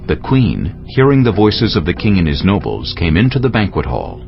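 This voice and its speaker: A man's voice: deep voice, sing-song, normal speed, creaky. A man narrating scripture as a scripted dramatized audio production. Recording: dull lo-fi audio with faint music.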